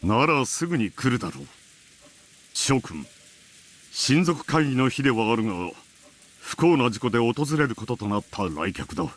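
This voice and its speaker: A man's voice: mid-range voice, very animated, quick pace, long pauses. A man playing a dignified middle-aged master of the house who speaks in a formal, commanding way. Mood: formal, authoritative.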